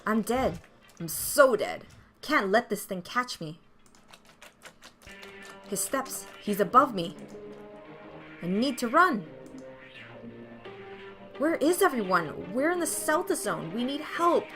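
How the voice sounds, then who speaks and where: A woman's voice: on the high side, very animated, takes it slowly, pauses often, a bit bright, faint music behind, full character voice. A woman playing a frightened, desperate young hero in a visual novel, narrating in an emotional, melodramatic way. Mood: panicked, desperate. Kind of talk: dramatic first-person visual novel narration.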